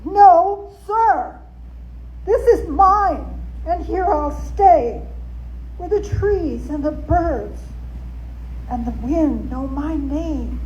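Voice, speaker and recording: Woman acting an anguished outcast, high drawn-out cries. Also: expressive, darkish tone, muffled recording, a little hiss or hum, full character voice. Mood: loud defiance. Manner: drawn-out, chanting, crying out. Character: defiant village outcast woman, anguished and stubborn.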